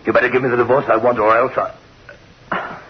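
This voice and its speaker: An actor playing a nervous, weak-willed, fed-up husband in a radio horror drama, halting and repeating himself. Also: male voice, medium pitch, level tone, slight background noise, lightly acted. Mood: exasperated. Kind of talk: 1960s radio horror acting. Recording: muffled old radio recording, loud music.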